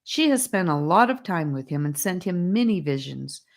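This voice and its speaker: A woman voicing a serious, wise male guardian who speaks calmly. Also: on the low side, normal speed. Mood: earnest. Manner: calm and serious.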